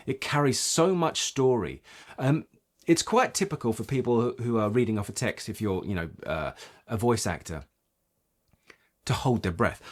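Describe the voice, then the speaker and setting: A man giving an instructional talk as a voice coach, explaining points emphatically and checking in with short rhetorical questions. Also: mid-range voice. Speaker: voice acting coach.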